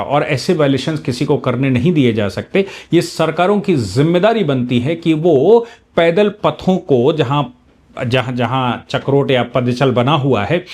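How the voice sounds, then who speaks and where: A man's voice: medium pitch, a little mellow. A man giving explanatory commentary on current affairs like a law teacher, emphatic and repeating phrases for weight.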